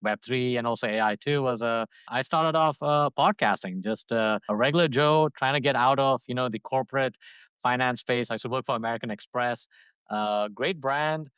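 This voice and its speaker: A man's voice: mid-range voice, moderate pace, a bit bright, clean tone, dry recording, lo-fi sound. A man from Dubai in his thirties, a podcast guest talking casually and rambling, with lots of fillers and restarts. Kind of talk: casual interview talk.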